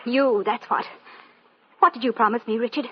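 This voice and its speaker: An actress playing a bitter, vengeful young would-be star in a 1940s radio drama, intense and melodramatic, on a muffled old recording. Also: female voice, fairly high pitch, moderate pace, a little hiss or hum, close to an everyday voice. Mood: bitter, accusing.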